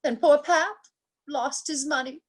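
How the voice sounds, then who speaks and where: A woman acting a haughty, ancient matriarch in a radio drama, with a husky voice and a grand, condescending manner. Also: high voice, measured pace. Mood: grandly reminiscing. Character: imperious, haughty, ancient matriarch of eerie store dwellers.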